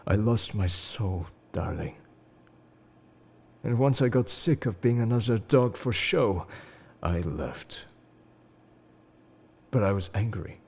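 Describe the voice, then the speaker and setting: A man playing a suave, enigmatic engineer-agent in an intimate roleplay audio, breathy and halting as he confides old wounds with tender devotion. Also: on the low side, animated, a little mellow, full character voice. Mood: bitter, guarded. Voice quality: breathy, husky, slipping into whispers. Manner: measured, confessional, halting. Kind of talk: intimate scripted roleplay audio addressed to the listener.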